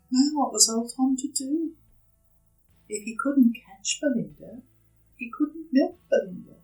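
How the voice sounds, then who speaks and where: A woman's voice: fairly high pitch, expressive, a little mellow, far from the mic, faint music behind, rough recording. A woman reading a children's story aloud expressively, dramatically stressing and drawing out repeated words. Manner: dramatic, with emphatic repetition and drawn-out words.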